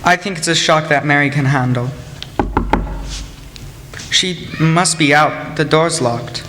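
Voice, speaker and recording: A man's voice: a little high, takes it slowly. A man playing an earnest, proud and devoted young bridegroom in a radio play. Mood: eager. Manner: earnest, polite. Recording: reverberant hall, faint noise.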